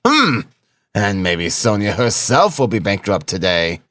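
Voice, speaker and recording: A man's voice: deep voice, animated, unhurried. A man voicing a brooding, cynical young man in an audiobook, musing to himself with bitter sarcasm. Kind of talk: audiobook character acting.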